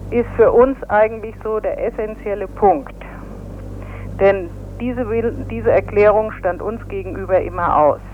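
A woman, a union press spokesperson, giving a measured, formal statement in a phone interview. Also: medium pitch, steady tone. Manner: formal, measured, legalistic phrasing. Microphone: telephone. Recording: tinny phone line with faint hiss.

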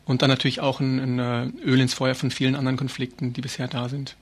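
Fast-talking man, fairly flat intonation. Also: medium pitch, husky. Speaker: activist interviewee. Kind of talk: informal radio interview.

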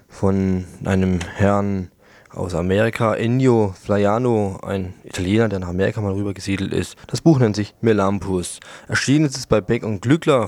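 A man's voice: lowish voice, dry recording. A man presenting a radio programme, reading from a script.

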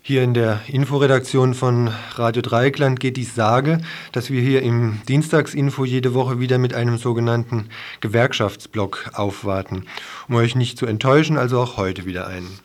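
A man's voice: medium pitch, fairly even intonation, normal speed. A man presenting a scripted news report on the radio.

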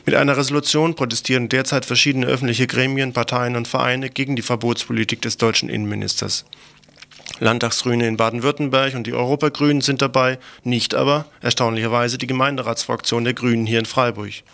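A man with a slightly gravelly voice, reading scripted news as a radio presenter. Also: mid-range voice, flat delivery, brisk, sharp tone.

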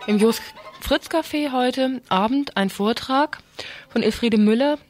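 A woman's voice: a little high, unhurried, no echo, faint music behind. A woman on local radio reading event listings in an informal way. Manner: informal, thinks aloud mid-listing. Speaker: radio presenter.

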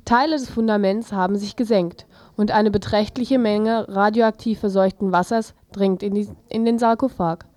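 A woman's voice: medium pitch, fairly even intonation, moderate pace, mellow, dry recording. A woman reading a scripted news report as a presenter.

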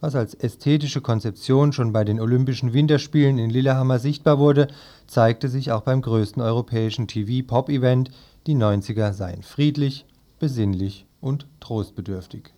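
A man with a soft, dark voice reads out newspaper commentary on the radio. His pitch sits in the middle range for a man. The delivery is scripted, as in a press review. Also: moderate pace.

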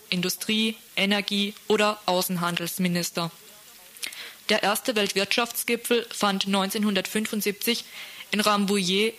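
A woman's voice: medium pitch, fairly bright tone. A woman presenting on radio, reading out a scripted news report.

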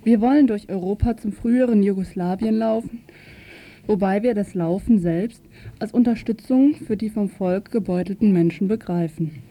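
Dark, mellow-voiced woman radio interviewer. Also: mid-range voice, moderate pace. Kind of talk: scripted narration and interview questions.

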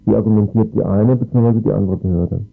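Quick, low-voiced male radio interviewer. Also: steady tone, creaky, narrow, tinny audio. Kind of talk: formal news interview.

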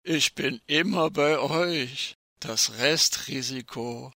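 A man's voice: medium pitch, gravelly, bright, forceful tone, dry recording, studio quality, exaggerated voice. A man playing danger personified as a sinister, falsely courteous guest, reciting satirical verse theatrically, slyly savouring each phrase. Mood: creepily friendly. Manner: mock-polite, sly, deliberate, savouring each phrase.

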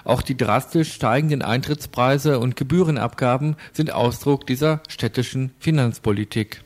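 A man working as a radio reporter, reading scripted news narration and putting interview questions. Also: mid-range voice.

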